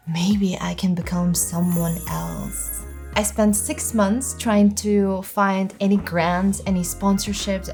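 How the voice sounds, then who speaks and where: A woman's voice: lowish voice, darkish tone. A woman in her thirties from Kazakhstan with a Kazakh accent, earnestly telling her own life story as a filmmaker. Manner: earnest, reflective, lists in short phrases. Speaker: filmmaker vlogger. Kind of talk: personal storytelling to camera.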